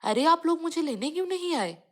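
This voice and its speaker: A woman's voice: extremely high pitch, expressive, a little fast, pitched above the performer's own voice. A woman voicing a naive, whiny cartoon visitor to the city, plaintive and full of questions. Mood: puzzled, questioning.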